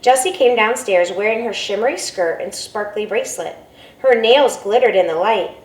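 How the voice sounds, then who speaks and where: A woman, a school principal, reading a picture book aloud in an expressive storytelling way. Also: medium pitch, moderate pace. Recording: roomy, from a distance, faint ambience.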